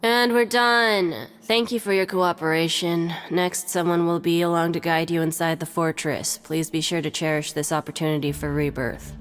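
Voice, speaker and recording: An actress playing a jaded, sardonic prison reception clerk in a video game, dry and officious. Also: female voice, lowish voice, steady tone, normal speed, few pauses, clean tone, soft background music, close to an everyday voice. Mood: dry, official.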